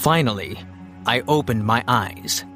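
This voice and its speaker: Casting: self-mocking young hero narrator, medium-pitched, confiding scripted delivery with light underscore. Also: male voice, animated, a little slow, sharp tone, faint music behind. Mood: reflective. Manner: wry, confiding. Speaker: first-person narrator.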